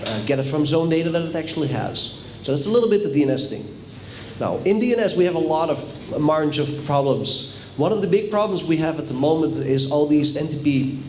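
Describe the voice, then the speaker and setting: Dutch man, somewhat high voice, relaxed speaker addressing a conference room, faint noise behind. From Netherlands. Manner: casual, chatty, asks the audience questions. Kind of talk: technical conference talk.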